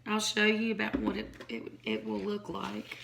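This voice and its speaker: Hoarse, gravelly woman cooking. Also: mid-range voice, little pitch movement, normal speed, a bit bright. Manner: chatty, rambling, folksy. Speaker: home cook. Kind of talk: casual cooking demonstration. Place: home kitchen, indoors. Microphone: phone or camera on counter. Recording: noisy home recording.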